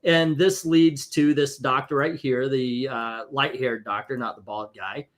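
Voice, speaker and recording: A man in his fifties hosting a casual comic book chat, wry and quick to mock clunky dialogue. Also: medium pitch, steady tone. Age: 50s. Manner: wry, reads comic dialogue aloud mockingly. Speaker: comic book podcast host.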